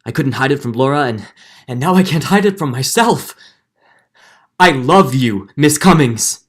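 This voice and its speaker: A man's voice: mid-range voice, expressive, moderate pace, natural voice. A man playing a middle-aged judge, earnest and guilt-ridden, in a dramatic 1940s radio drama style with hesitant turns. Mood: hesitant, yearning. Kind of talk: 1940s radio drama acting with first-person narration.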